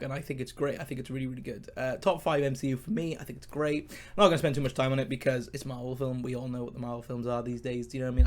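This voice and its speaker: A man's voice: mid-range voice, darkish tone. A man hosting a casual, rambling film review, enthusiastic and emphatic, his speech slightly impeded by a sore mouth. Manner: enthusiastic, informal, emphatic and repetitive. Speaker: podcast host, film reviewer.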